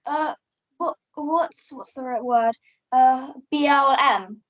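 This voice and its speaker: Young woman doing a cheeky curious kid in a radio play, high pitched, talks slow, muffled audio. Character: curious, cheeky young daughter who interrupts. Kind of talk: amateur radio play acting. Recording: dull lo-fi home recording.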